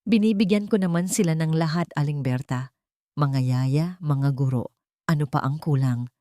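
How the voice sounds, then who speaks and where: A woman's voice: deep voice, normal speed, mellow, no room sound, lightly acted. A woman narrator plays a wealthy widowed businessman and father in an audio storybook. He sounds subdued and brooding, weighed down by guilt. The sound is dry, with no room on it. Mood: defensive.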